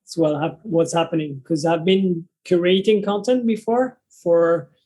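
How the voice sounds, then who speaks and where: French-accented man, high voice, halting. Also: warm tone. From France. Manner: halting, restarts sentences often. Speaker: guest, travel content creator. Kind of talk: casual interview conversation.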